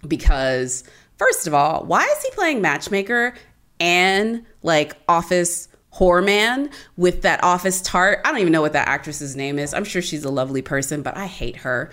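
Woman, lowish voice, swooping intonation, sassy, emphatic, opinionated podcast debate. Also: sing-song, moderate pace, a bit bright. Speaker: podcast panelist.

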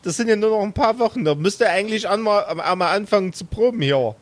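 A man's voice: high voice, expressive, fast. A man voicing a brusque, foul-mouthed, easily exasperated woman band manager in an improvised audio play. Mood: nagging. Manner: scolding, flustered, blunt.

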